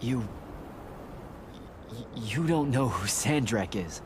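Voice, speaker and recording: A plucky battlemage hero in a fantasy game, informal and casual. His medium-pitched voice moves around a lot, with clear ambient noise behind. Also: lively intonation, slow pace. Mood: placating. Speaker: video game voice actor. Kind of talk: game cutscene acting.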